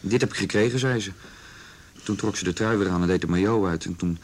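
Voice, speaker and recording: A low-voiced, earnest schoolboy in a radio play. He sounds uneasy and hesitant, recounting things respectfully. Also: quick pace. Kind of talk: Dutch radio drama acting.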